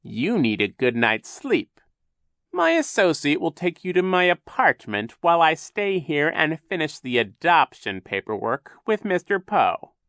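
Slow, scheming sea-captain villain, pitched high. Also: male voice, big pitch swings, a bit bright, dry recording, studio quality, exaggerated voice. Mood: falsely soothing. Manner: falsely soothing, sneering, drawn-out delivery. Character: menacing male sea captain, a scheming fake-sweet villain.

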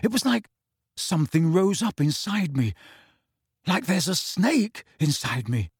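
A man's voice: sits high, very animated, unhurried, husky, no echo, clean studio sound, voice pushed up, exaggerated voice. A man voicing a frightened teenage boy. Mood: anxious. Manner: hushed, near-whispering, shaky.

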